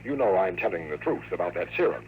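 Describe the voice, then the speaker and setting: An actor playing a scheming, power-hungry time traveler in 1950s radio drama, speaking with precise, menacing politeness. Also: male voice, low voice, sing-song, fast, phone-quality sound. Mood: commanding. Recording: noisy old radio drama recording.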